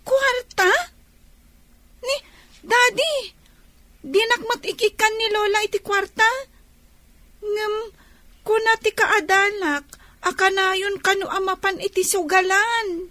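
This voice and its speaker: High, bright-voiced innocent child. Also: female voice, fairly even intonation, no echo, full character voice. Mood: curious, plaintive. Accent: Ilocano. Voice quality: small, thin childlike voice. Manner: slow, simple, questioning delivery. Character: innocent, inquisitive young child talking to parents. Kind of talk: Filipino radio drama acting.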